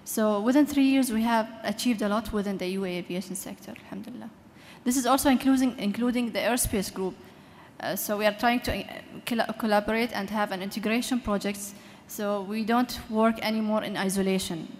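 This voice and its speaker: A woman's voice: medium pitch, fairly even intonation, darkish tone. Woman speaking in a reverberant hall. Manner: earnest, halting with frequent restarts. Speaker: panelist, aviation environment official. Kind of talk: conference panel answer. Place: large conference hall. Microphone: PA microphone. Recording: reverberant hall with faint noise.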